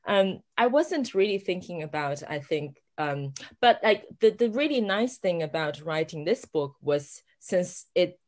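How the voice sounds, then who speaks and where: A woman's voice: fairly low pitch, lively intonation, unhurried, hardly any pauses. A woman author, a native Chinese speaker, talking in a hesitant, casual way with many fillers and frequent laughs. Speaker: author guest. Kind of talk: casual conversation.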